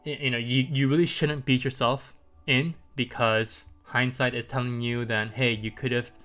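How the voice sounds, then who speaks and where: A man's voice: mid-range voice, steady tone, moderate pace, a bit bright, soft background music. A man hosting a market livestream, talking loosely to viewers with lots of "um" and "right?", his voice a little stuffy from congestion. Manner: loose and chatty, many ums and rhetorical "right?", light chuckles. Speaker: market commentary livestream host. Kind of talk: casual livestream talk to viewers.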